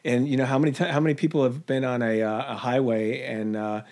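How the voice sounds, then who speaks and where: A man's voice: mid-range voice, fairly even intonation, fast, a little mellow. A man co-hosting a casual podcast, agreeable, with many short replies and restarts. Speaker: podcast co-host.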